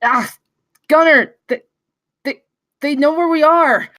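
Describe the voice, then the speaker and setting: A woman's voice: high voice, slow pace, a bit bright. A woman playing a frantic, bossy space adventurer in a table read of a sci-fi script, urgent and exclamatory. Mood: panicked.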